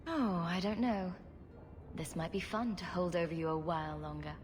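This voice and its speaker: A woman's voice: fairly low pitch, moderate pace, faint music behind, natural voice. A woman playing a witty, sarcastic female rogue adventurer in a video game, with a playful delivery. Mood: playful sarcasm. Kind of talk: dramatic video game acting.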